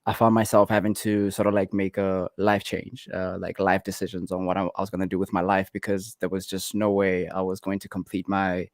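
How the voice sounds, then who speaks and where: A young South African man with a lowish voice talks in a relaxed, casual way, full of fillers and restarts. His voice sounds dry and close, with no room around it. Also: a little fast, few pauses, no room sound. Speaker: guest artist. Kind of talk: casual interview talk.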